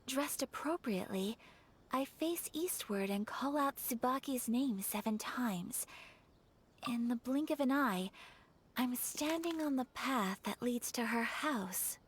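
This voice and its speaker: A soft-spoken young noblewoman. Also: on the high side, expressive, slow, husky, full character voice. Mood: gentle, thoughtful. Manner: soft-spoken and formal, often whispering. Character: young noblewoman, gentle, polite and composed.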